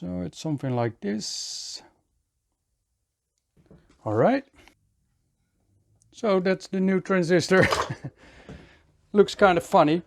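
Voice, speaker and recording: Male repair-tutorial host, methodical, gravelly fry in a slightly higher voice. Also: expressive, slow, pauses often. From Netherlands. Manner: methodical, explains step by step. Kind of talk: hands-on repair tutorial commentary.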